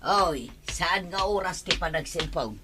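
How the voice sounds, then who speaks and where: An actress playing a bossy senior household servant in an Ilocano radio drama. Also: female voice, fairly low pitch, animated, measured pace, noisy background. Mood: bossy, brisk.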